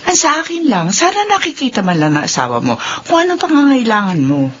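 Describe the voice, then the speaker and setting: A woman's voice: fairly high pitch, huge pitch swings, fairly quick, slight background noise. A woman playing an older mother who dotes on her son and is critical of his wife, in a radio drama. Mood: concerned. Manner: nagging, protective. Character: older mother, doting, critical of daughter-in-law. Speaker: mother of the husband. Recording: dull lo-fi radio recording.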